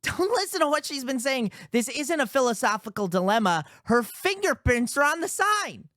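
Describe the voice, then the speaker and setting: Animated no-nonsense security officer. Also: female voice, high-pitched, moderate pace, no echo, clean studio sound. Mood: exasperated, insistent. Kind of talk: sci-fi radio drama acting.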